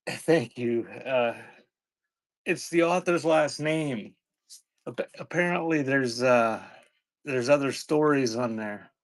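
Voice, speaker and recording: A man's voice: medium pitch, slow. Man chatting casually on a live sale. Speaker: online live-sale seller of vintage cassettes. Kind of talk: casual live-stream selling chat.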